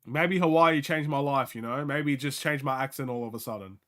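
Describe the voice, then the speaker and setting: A young man from Australia with a light Australian accent, hosting a live game watchalong in casual, excitable play-by-play chat, often laughing. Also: mid-range voice, fairly quick.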